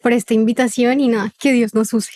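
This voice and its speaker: A woman in her twenties with a neutral Colombian accent and a slight paisa lilt, giving personal testimony conversationally as a podcast guest, with thoughtful pauses. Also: fairly high pitch, steady tone, dark tone. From Cartagena, Colombia. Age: 20s.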